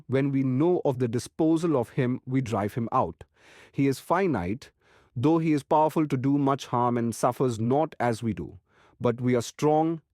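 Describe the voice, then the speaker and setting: A man voicing an earnest foreign professor and monster hunter in an audiobook, with halting grammar and grand rhetoric. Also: mid-range voice, a little mellow, no room sound, clean studio sound, lightly acted. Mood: rousing. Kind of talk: dramatised audiobook narration.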